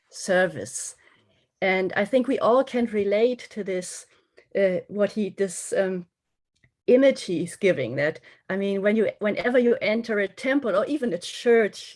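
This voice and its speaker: Thoughtful woman guest, mid pitch. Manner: thoughtful, searching for words, restarts phrases. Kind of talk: reflective podcast talk.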